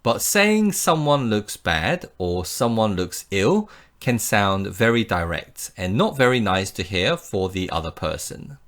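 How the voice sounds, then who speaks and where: Slow, lively-pitched male English teacher. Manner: clear, patient, models example sentences for repeating. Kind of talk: slow scripted English lesson.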